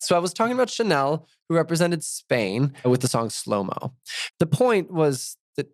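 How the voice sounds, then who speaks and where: Animated laughing man, lively voice. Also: fairly high pitch, moderate pace, no echo, studio quality. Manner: laughs often, animated and self-mocking. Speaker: podcast co-host. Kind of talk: casual chat, reading out listener comments.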